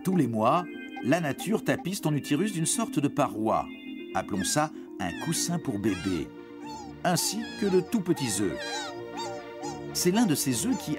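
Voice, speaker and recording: A man narrates an educational cartoon for young teens with very lively, varied intonation. He explains in a didactic yet reassuring and informal tone, speaking straight to the listener. Music plays clearly behind his mid-pitched voice.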